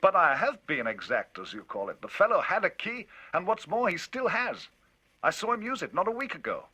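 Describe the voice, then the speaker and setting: A man doing an excitable gentleman storyteller. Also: sits high, brisk, slightly rough, pitched above the performer's own voice, full character voice. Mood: agitated. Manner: emphatic, rising, animated storytelling.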